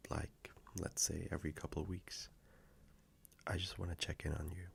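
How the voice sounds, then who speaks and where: A very low, heavily creaky male voice of an actor plays an affectionate boyfriend in an intimate audio roleplay. He speaks softly and slowly, gentle and reassuring, sometimes slipping into breathiness. A faint noise sits behind the close recording. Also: heavily acted. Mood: caring, earnest. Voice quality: low, gravelly, breathy at times. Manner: soft, slow, reassuring, intimate.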